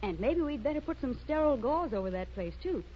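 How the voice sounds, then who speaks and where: An actress playing a gentle, soothing mother of a little girl in a 1930s radio commercial skit. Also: high voice, very animated, quick pace. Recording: muffled, noisy 1930s radio recording.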